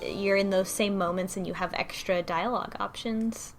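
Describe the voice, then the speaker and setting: A woman's voice: mid-range voice, fairly even intonation, moderate pace, gravelly. A woman on a casual book club panel, talking in a wry, incredulous, conversational way. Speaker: podcast panelist. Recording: music behind the voice. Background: background music.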